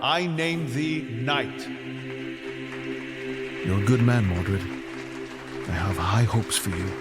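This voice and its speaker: A man acts out a regal king of legend in an audio drama. His mid-pitched voice is commanding yet kindly, with measured, stately phrasing and music playing behind him. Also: natural voice. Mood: proud.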